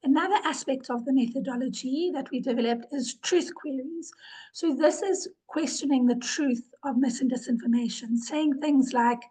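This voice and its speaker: Woman presenter, ill and hoarse, high and husky, earnest webinar talk with fillers. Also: normal speed, warm tone, poor audio. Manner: earnest, repeats words for emphasis, with fillers. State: ill, losing her voice. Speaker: webinar presenter, organization director.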